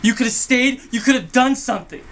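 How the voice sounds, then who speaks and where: A man acting a hurt younger brother in an emotional dramatic monologue, speaking in a halting, emotionally raw way. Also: fairly high pitch, a bit bright, a little hiss or hum. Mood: bitter, anguished. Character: hurt younger brother pleading with self-centered older brother.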